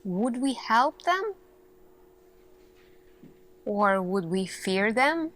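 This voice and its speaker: A woman performing an ASMR sci-fi roleplay as an earnest, thoughtful lead scientist, speaking deliberately with pauses. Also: mid-range voice, big pitch swings, fairly bright tone. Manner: slow, deliberate, pausing, questioning delivery. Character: earnest, thoughtful female lead scientist addressing her team.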